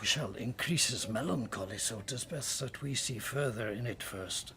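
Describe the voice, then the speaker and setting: A male actor with a British accent playing a stately, magnanimous king in a classical radio drama, speaking formally and with measured declamation. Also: mid-range voice, crowd noise, natural voice. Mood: gracious, grave.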